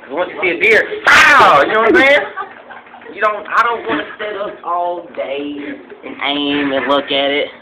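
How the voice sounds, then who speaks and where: A man playing a boastful, broke rural hunter who shows off his trophies in a rambling, deadpan way. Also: high voice, normal speed, a crowd in the background, low-quality recording. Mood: bragging. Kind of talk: home comedy sketch.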